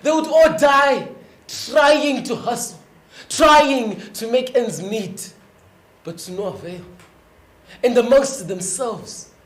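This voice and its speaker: A woman narrating a stage play as a solemn storyteller, in measured, scripted phrases. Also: fairly high pitch. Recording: echoey room.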